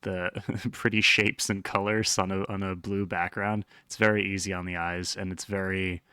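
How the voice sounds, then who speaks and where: A man's voice: low-pitched, flat delivery, moderate pace, pushed and bright, clean tone, dry recording, clean studio sound. A man giving casual reaction commentary, rambling enthusiastically in informal slang. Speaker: host reacting to a horror web series.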